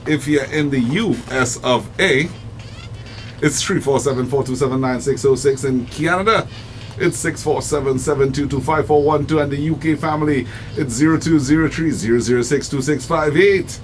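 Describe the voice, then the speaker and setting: A man's voice: mid-range voice, normal speed, faint music behind. Effusive Trinidadian radio DJ man. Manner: effusive, enthusiastic. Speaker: radio DJ host. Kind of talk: casual radio interview. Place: radio studio.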